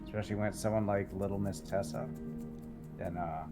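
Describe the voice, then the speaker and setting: A man in an improvised roleplay conversation, speaking in a measured, explanatory, probing way like a counselor. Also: lowish voice, fairly even intonation, music in the background. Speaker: roleplay game player.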